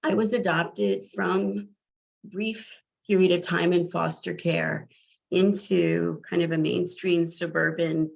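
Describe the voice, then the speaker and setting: Scratchy-voiced woman, halting personal story. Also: fairly low pitch, steady tone, creaky. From New Jersey, US. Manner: halting, many fillers and restarts. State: scratchy, tired voice. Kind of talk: personal account. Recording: dull lo-fi audio.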